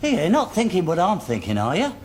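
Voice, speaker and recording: A man's voice: high voice, wildly swooping pitch, fast, a little hiss or hum. An actor with a London accent playing a cheeky, wisecracking busman in a broad sitcom. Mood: wry. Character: cheeky, wisecracking male busman, the driver's mate.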